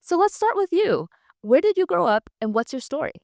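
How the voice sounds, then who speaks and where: A woman's voice: very high-pitched, big pitch swings, a little fast, gravelly, darkish tone, no echo, studio quality. A woman hosting a podcast, moving between scripted narration and interview questions. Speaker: podcast host.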